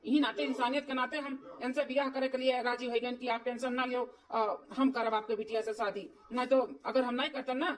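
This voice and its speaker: Woman voicing an earnest high-voiced Awadhi stepson. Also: steady tone, fairly quick, few pauses, far from the mic, lightly acted, low-quality recording. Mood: earnest pleading. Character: earnest, dutiful adult stepson and new husband. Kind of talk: amateur cartoon dialogue.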